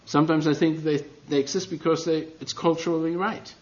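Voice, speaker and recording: A man's voice: a little high, fairly even intonation, fairly quick, highs cut off. A middle-aged man with a German accent preaching a sermon as a guest, earnest and self-deprecating, with non-native phrasing.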